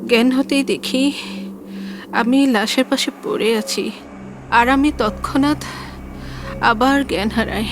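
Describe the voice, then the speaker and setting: A woman playing a weak, frightened hospital patient in an audio drama, speaking haltingly, word by word. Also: on the high side, over music. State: weakened, labored.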